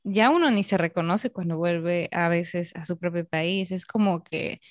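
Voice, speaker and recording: A woman from Peru hosting a casual conversation, speaking thoughtfully with pauses. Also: lowish voice, dry recording, clean studio sound.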